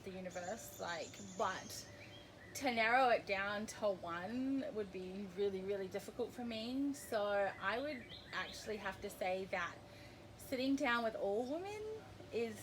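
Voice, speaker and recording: A woman's voice: mid-range voice, a little slow. A woman with a mixed New Zealand and Australian accent, a guest in a casual interview, bubbly and rambling, laughing often. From New Zealand, raised in Australia. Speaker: boudoir photographer, guest. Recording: noisy background.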